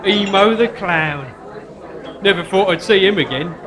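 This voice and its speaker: An actor playing a naive, hapless convict sidekick in live stage comedy, with an English accent and an earnest, hesitant, polite manner. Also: male voice, high-pitched, measured pace, crowd noise. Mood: earnest, puzzled. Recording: live stage recording with loud audience.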